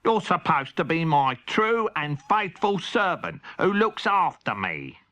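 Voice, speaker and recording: Man as a fretful cartoon cat, slow drawn-out speech, complaining, would-be knight, children's storybook. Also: a little high, big pitch swings, pushed and bright, heavily acted. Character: fretful, slow-spoken male cat who fancies himself a knight.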